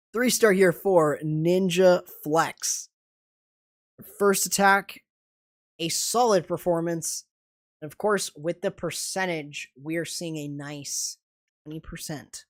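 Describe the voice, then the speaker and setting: A young man commenting live on a video game match, excitable and quick to burst into exclamations, his voice strained and starting to go hoarse. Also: a little high. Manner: excitable, rising to sudden exclamations. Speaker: esports commentator and streamer.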